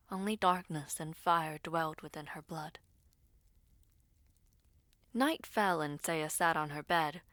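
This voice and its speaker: A woman narrates dramatic fiction in a low, unhurried voice. Her delivery is measured and hushed, captured very dry and close. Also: no echo. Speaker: storyteller. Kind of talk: scripted dramatic audio story narration.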